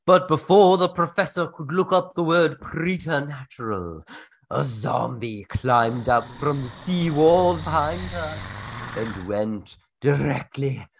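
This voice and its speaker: A spooky storyteller played by a podcast performer narrates in a theatrical radio drama style. The phrases are drawn out for suspense, with pitch rising and falling widely. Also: expressive, takes it slowly, vocal fry, full character voice. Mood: suspenseful.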